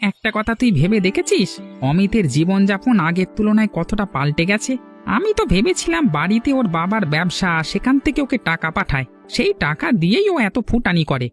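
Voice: medium pitch, very animated, fairly quick, faint music behind. A performer voicing a suspicious, prying young male college student in a cartoon.

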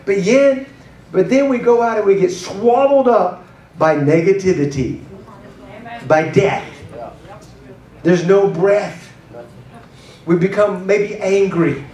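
A middle-aged man preaching a sermon as pastor, animated and gesturing as he moves about a church stage with a handheld mic. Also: high-pitched, slow, pauses often. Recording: roomy hall sound from a distant camera.

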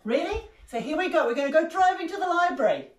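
An older woman from New Zealand with a New Zealand accent, leading a children's rhyme and song session in an animated, cheerful way. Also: extremely high pitch, quick pace. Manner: cheerful, animated, sings and gasps to engage toddlers. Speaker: library rhymetime presenter. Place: small carpeted room indoors. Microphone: far camera. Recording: roomy sound from a far-off camera.

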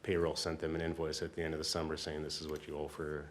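Very deep-voiced man explaining informally. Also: monotone, fast, a little hiss or hum. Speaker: city staff member. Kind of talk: explaining at a council meeting.

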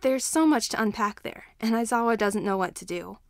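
A woman reading fan fiction aloud as an expressive scripted story narration. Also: a little high, a little fast, no room sound, clean studio sound.

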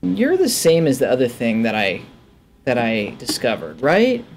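A man's voice: medium pitch, wildly swooping pitch, unhurried, slight background noise. A man giving casual, offhand gameplay commentary, musing aloud to himself into a headset mic. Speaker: gaming let's player. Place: indoors at a desk.

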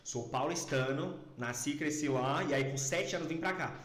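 A man's voice: on the high side, moderate pace, a bit bright. A man in his late twenties from São Paulo, chatting playfully as a podcast guest at a desk microphone in a small studio. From São Paulo, Brazil. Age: late 20s. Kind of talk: casual chat. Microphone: boom desk mic. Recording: roomy studio sound.

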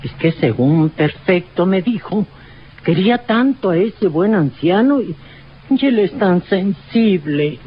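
A woman's voice: lowish voice, animated, slow. Earnest village mother, lo-fi recording. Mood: earnest concern. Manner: earnest, courteous. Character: worried, polite village mother, gentle and pious. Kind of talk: old radio drama acting. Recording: dull noisy old radio recording.

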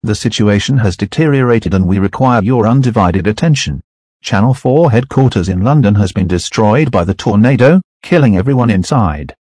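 Low, husky synthetic male announcer. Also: expressive, moderate pace, a little mellow, no room sound, studio quality. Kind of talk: scripted emergency broadcast announcement.

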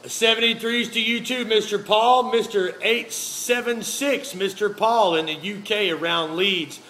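A man's voice: high voice, a little slow. A man from the United States, chatting casually and folksily over two-way radio with repeated phrases and jargon. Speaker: amateur radio operator. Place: indoor radio desk, filmed up close. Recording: noisy room beside radio set.

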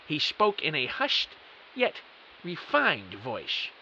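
A man's voice: on the high side, huge pitch swings, slow, bright, forceful tone, exaggerated voice. A man playing a bewildered computer technician who narrates his own mystery audio drama with earnest, melodramatic emphasis. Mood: dramatic, intrigued. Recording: dull, hissy audio.

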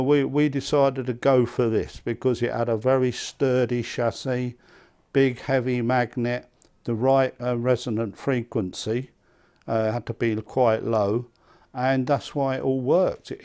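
A man in his seventies with an English accent, reminiscing conversationally in an interview, halting and repeating words. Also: medium pitch, darkish tone. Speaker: loudspeaker maker being interviewed. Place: small indoor hi-fi demonstration room. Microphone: lapel mic.

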